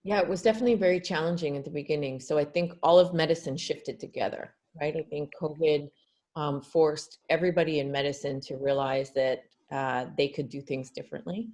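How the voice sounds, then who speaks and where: A woman's voice: deep voice, steady tone, brisk, a little mellow. A woman from Canada, a guest doctor answering webinar questions on a video call, earnest and explanatory with frequent fillers.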